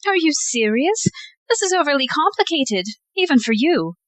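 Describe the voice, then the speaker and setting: A woman's voice: high-pitched, big pitch swings, normal speed, fairly bright tone, dry recording. An actress playing a wry, genteel society lady in an audio play, with an arch, theatrical delivery. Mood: wry, incredulous.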